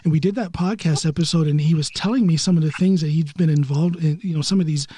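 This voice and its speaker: Higher-voiced male podcast host. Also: mellow, slightly rough, no room sound. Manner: agreeable, many quick yeahs and laughs. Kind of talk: casual interview chat.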